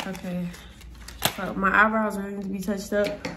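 A woman's voice: medium pitch, flat delivery, moderate pace, background noise. A young woman with a stuffy, nasal voice talks at home. She rambles on in a blunt, chatty, profane way while she does her makeup for a vlog. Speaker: vlogger. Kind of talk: casual makeup tutorial vlog.

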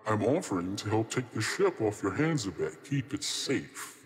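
A man's voice: lowish voice, big pitch swings, moderate pace. A man voicing a chatty, courteous trickster spirit in a tabletop game, hedging and rambling politely as he goes. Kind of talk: improvised tabletop roleplay. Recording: long reverb on the voice.

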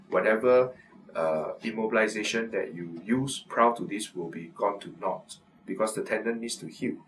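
A middle-aged man, an orthopaedic surgeon, explaining to camera in a measured way with frequent filler pauses, in a medical office. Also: fairly low pitch, a bit bright, far from the mic, a little hiss or hum, rough recording. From Singapore.

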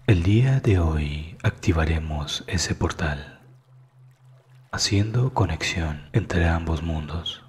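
A man narrating a scripted guided meditation in a calm, soothing manner that is hushed at times. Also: low voice, slow pace, breathy edge.

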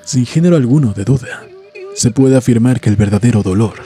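A man narrating a scripted horror story in a solemn, deliberate, dramatic way, letting phrases trail off softly. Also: mid-range voice. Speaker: host and narrator of a story series. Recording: music behind the voice. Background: music.

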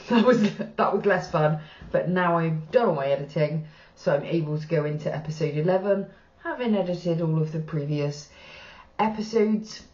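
A woman's voice: on the low side, darkish tone. A woman in her thirties with a Bristolian accent, hosting a reaction channel in casual, frank commentary with the odd swear word. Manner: chatty and frank, casual swearing, gestures while talking. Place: small plain room indoors. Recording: roomy, slightly dull sound.

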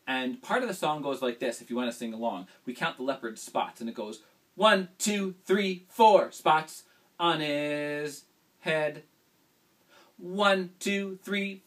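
A man's voice: fairly high pitch, recorded from a distance. A young man hosting a children's video, speaking eagerly and directly to kids in a small room. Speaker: children's singer and host. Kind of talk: talking to children, then singing with guitar. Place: small indoor room with purple backdrop. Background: acoustic guitar.

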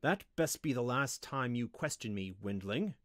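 A man's voice: on the high side, sing-song, moderate pace, no echo, studio quality. Man playing a cold, commanding wizard master. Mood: cold, menacing. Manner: commanding, imperious. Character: cold, domineering male wizard teacher, obsessed with results. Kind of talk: audiobook character voice.

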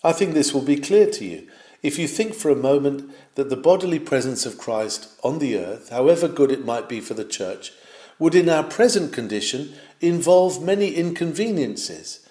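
A man reading an old sermon aloud in a measured, declamatory way, with swells of fervour. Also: mid-range voice, animated, warm tone. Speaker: reader of a historic sermon. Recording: roomy, echoing sound.

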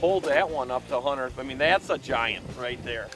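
A man's voice: mid-range voice, normal speed, music in the background. A man hosting a fishing show from an open boat on a lake, chatting casually and exclaiming over each catch. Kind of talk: casual chat while fishing.

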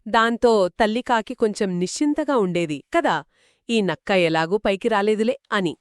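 A woman shares a tale for children in a chatty back-and-forth style. Her mid-range voice is engaged and dramatic, pitched toward young listeners. It is recorded dry and close, without room echo. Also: lively intonation, normal speed, clear, resonant tone. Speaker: storyteller, co-host of a children's story show. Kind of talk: conversational children's storytelling dialogue.

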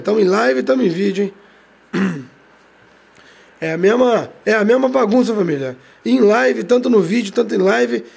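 A man with a Rio de Janeiro accent, hoarse from a cold, giving hyped slangy commentary over a bus game, each phrase ending on a low quiet tag word. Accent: Brazilian Portuguese, Rio de Janeiro. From Brazil. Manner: slangy, drags out catchphrases, tag words drop low and quiet. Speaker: game streamer.

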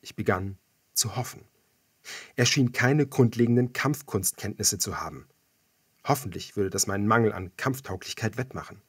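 Low, husky male audiobook narrator. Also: moderate pace, no room sound, clean studio sound. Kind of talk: scripted first-person thriller narration.

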